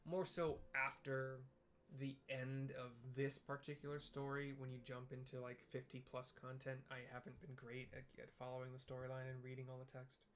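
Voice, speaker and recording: Man, mid-pitched voice, casual game commentary, hesitant, rambling, self-deprecating, clear background noise. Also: completely flat delivery, moderate pace, fairly bright tone. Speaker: video game streamer and narrator. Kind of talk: casual commentary with visual descriptions.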